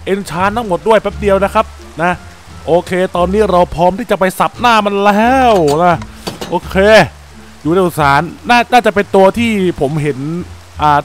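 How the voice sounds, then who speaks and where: A young man giving playful, excitable commentary while playing a block-building game, exclaiming often and joking with viewers. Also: high-pitched. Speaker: Minecraft gameplay YouTuber.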